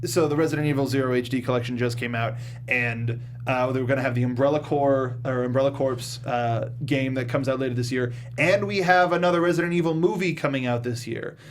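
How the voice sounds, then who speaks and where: A man's voice: mid-range voice, fairly even intonation, a little fast, hardly any pauses, slight background noise. A man hosting a casual film review discussion, speaking informally and emphatically with the odd mild swear. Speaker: show host.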